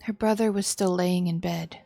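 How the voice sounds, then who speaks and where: A woman's voice: on the low side, steady tone, moderate pace, gravelly, mellow, dry recording. Woman narrating a visual novel, dry sound. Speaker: streamer. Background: music.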